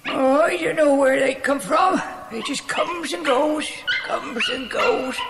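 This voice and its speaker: An actor playing a simple, spiteful old English servant in an audio drama, muttering and chuckling in rustic, ungrammatical speech. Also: male voice, extremely high pitch, takes it slowly, gravelly, crowd noise, full character voice. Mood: suspicious, flustered. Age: older. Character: simple, spiteful, cackling old cockney dog-keeper man. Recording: echoey reverberant space with faint noise.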